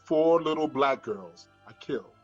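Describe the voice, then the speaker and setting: A man reading scripted screenplay directions as narration, in a husky voice. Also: a little high, lively intonation, unhurried. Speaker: stage directions reader. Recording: dull lo-fi room recording, faint music.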